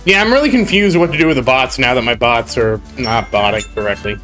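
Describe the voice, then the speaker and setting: A man's voice: medium pitch, quick pace, a bit bright, soft background music. A young man with a cold and a congested voice, rambling and grumbling on a casual game live stream. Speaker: gaming streamer.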